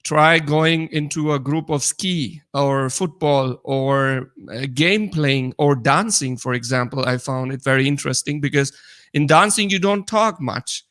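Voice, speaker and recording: A man with a Pakistani accent talking casually as a podcast co-host, explaining things earnestly in halting non-native English. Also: fairly high pitch, fairly bright tone. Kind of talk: casual personal conversation.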